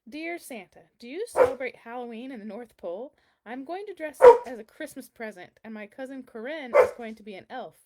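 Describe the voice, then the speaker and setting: Woman as an eager, curious little girl, high-pitched. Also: lively intonation, fairly quick, very noisy, close to an everyday voice. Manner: eager, questioning. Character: curious, earnest seven-year-old girl writing letters. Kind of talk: children's storybook read-aloud.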